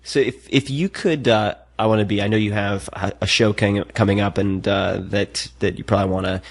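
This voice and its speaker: A man hosting a podcast interview, asking questions in a measured, curious way with some hesitations. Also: lowish voice, fairly even intonation. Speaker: podcast host. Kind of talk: interview questions and casual chat.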